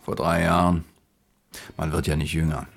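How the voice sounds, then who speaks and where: Low, creaky, laconic disc jockey. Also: male voice, little pitch movement, normal speed, soft background music. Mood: laconic, curious. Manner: laconic, dry, clipped phrases. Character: mid-thirties male mobile disc jockey, wry, flirtatious economist. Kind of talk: naturalistic German radio play dialogue.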